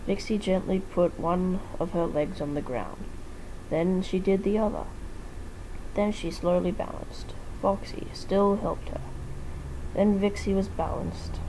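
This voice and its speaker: A woman's voice: mid-range voice, fairly even intonation, unhurried, dark tone, a little hiss or hum, low-quality recording. A woman reading fan fiction aloud as an amateur narrator, with casual asides to listeners.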